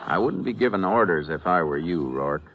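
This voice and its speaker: American lawman, low pitch, deadpan, authoritative, calm investigator, vintage radio drama. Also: normal speed, phone-quality sound, a little hiss or hum. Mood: stern, probing. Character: calm, commanding male Texas Ranger investigator. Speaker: lead actor and narrator. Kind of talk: 1950s radio drama acting. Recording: vintage 1950s radio broadcast recording.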